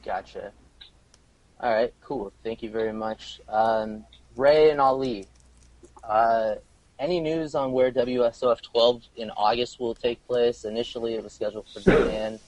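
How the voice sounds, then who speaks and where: A man's voice: medium pitch, steady tone, measured pace, darkish tone, dry recording, low-quality recording. A man working as a reporter, politely putting questions to guests on a press conference call.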